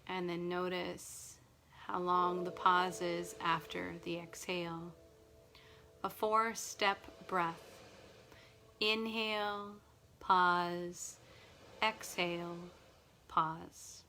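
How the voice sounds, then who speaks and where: A woman's voice: lowish voice, steady tone, a little hiss or hum. Soothing woman guiding a sleep meditation. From Nova Scotia. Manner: slow, soft and soothing. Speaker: meditation guide. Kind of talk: guided relaxation meditation. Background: music and ocean wave sounds.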